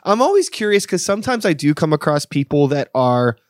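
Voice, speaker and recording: A man's voice: a little high, sing-song, fairly quick, no echo, studio quality. A man in his late thirties from the Pittsburgh area, hosting a loose, casual interview with plenty of "like" and the odd swear. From Pittsburgh area, USA. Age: 30s. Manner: loose and chatty, heavy filler "like", swears casually. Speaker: podcast host.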